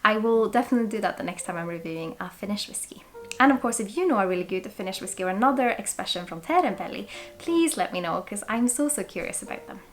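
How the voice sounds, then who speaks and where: A woman in her twenties from Sweden with a Swedish accent, chatting excitedly and thinking aloud as she reviews a whisky. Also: mid-range voice, fast. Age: 20s. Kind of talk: casual tasting review.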